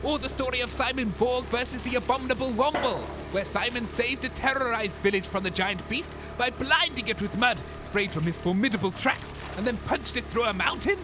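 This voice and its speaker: An actor playing an excitable, fame-hungry radio DJ with a theatrical, grandiose way of talking in a comic audio drama. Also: male voice, very high voice, brisk, hardly any pauses. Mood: awestruck. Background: music bed.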